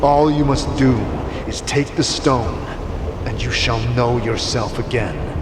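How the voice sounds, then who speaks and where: A man's voice: mid-range voice, takes it slowly, heavy background noise, voice pushed up. A man playing a commanding, portentous immortal wanderer in a fantasy audio drama, speaking in grave, formal proclamations with echoing reverb. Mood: commanding, solemn. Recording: long echoing hall reverb, music underneath.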